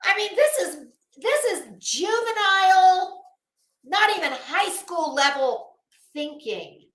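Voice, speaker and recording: A woman's voice: very high-pitched, sing-song, takes it slowly, a bit bright, echoey room. A woman hosting an opinionated livestream, speaking to viewers in a blunt, scornful, emphatic manner and repeating phrases for weight. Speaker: livestream host.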